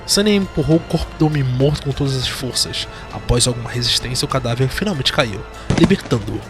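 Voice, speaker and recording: Narrador brasileiro de audiodrama de terror. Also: male voice, mid-range voice, animated, a little fast. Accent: Brazilian. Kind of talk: dramatic scripted horror-fantasy narration. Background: music.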